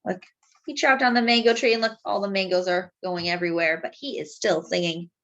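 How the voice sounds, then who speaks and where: A woman's voice: mid-range voice, highs cut off. A woman storyteller reading a picture book aloud expressively, stretching key words and chatting to young listeners. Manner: animated, drawn-out emphasis. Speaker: children's storyteller.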